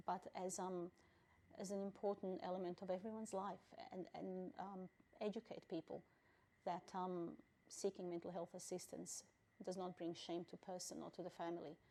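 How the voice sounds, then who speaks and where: Low gravelly woman from Bosnia. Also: mellow. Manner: measured, thoughtful, pauses with fillers. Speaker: refugee council president, interviewee. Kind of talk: interview answers.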